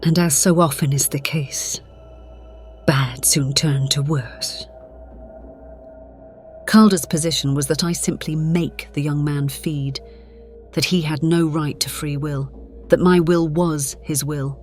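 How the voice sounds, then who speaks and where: A woman playing a centuries-old, conflicted vampire, narrating gravely in a measured, theatrical way. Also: sits low, steady tone, darkish tone. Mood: brooding. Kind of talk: dramatic scripted narration. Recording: dramatic music behind the voice.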